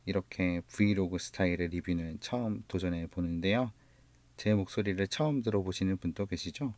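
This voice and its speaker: A man's voice: low-pitched, no echo. A man with a Gyeongsang accent, reviewing guitar gear in a casual, explanatory way, sometimes correcting himself mid-word. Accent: Gyeongsang Korean. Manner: relaxed, explanatory, slightly halting with self-corrections. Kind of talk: casual product review vlog.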